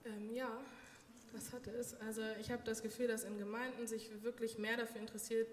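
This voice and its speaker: Echoey, higher-pitched woman with wry humour. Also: little pitch movement, brisk. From Germany. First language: German. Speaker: interview guest. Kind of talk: casual personal interview answers. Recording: echoey hall reverb, faint noise.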